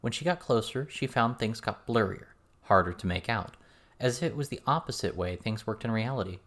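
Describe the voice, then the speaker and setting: A man's voice: lowish voice, lively intonation, brisk, a bit bright. A man reading scripted fiction as an audiobook narrator.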